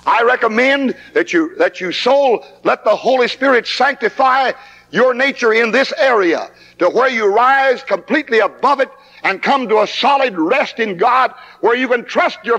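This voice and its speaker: A man's voice: very high voice, animated, moderate pace, bright, forceful tone. A man preaching a 1960s camp meeting sermon, fervent and emphatic, recorded on old tape in a hall.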